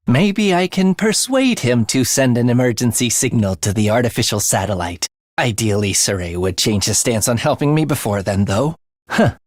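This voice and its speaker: Wildly expressive, morbid young assassin. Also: male voice, medium pitch, no room sound, clean studio sound. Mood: scheming, teasing. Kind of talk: English video game voice acting.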